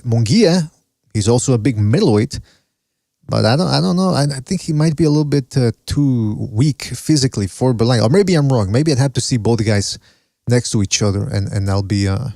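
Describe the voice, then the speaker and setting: A man's voice: medium pitch, dark tone, no room sound, clean studio sound. A man from Mexico with a mixed foreign accent, hosting a solo boxing podcast and reading the live chat in a casual, rambling way with cursing and laughs. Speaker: podcast host.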